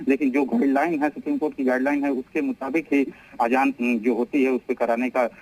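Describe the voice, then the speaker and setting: Male field correspondent, mid-pitched voice, reporting live and unscripted with hesitant repetitions. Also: little pitch movement, brisk, a little mellow, poor audio. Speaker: news correspondent.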